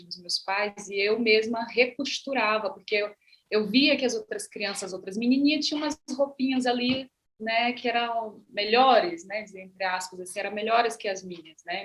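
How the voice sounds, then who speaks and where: A woman's voice: fairly high pitch, fairly bright tone. Hesitant woman from Maranhão, Brazil. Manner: unhurried, hesitant, frequent "né" tags. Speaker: artist and actress, panel speaker. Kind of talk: panel talk, personal account.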